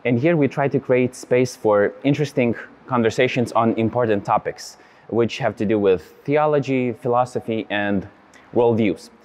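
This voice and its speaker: A young man from Lithuania, a native Lithuanian speaker, hosting an interview in English with many pauses and fillers, seated in a large church. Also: medium pitch, darkish tone. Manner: friendly, hesitant with many fillers. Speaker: host. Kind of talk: interview host intro and questions.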